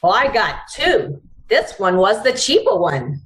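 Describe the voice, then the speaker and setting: A woman's voice: mid-range voice, very animated, unhurried, a bit bright. A woman playing a cool, self-satisfied accomplice in an old-time radio drama. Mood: pleased.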